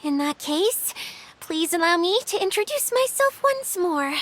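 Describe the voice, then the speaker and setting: A woman voicing a shy, excitable water spirit maiden with a sweet, bashful, theatrical manner in a video game. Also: extremely high pitch, unhurried, a little hiss or hum, exaggerated voice. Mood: gently earnest. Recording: very echoey, long reverb, music behind. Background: music.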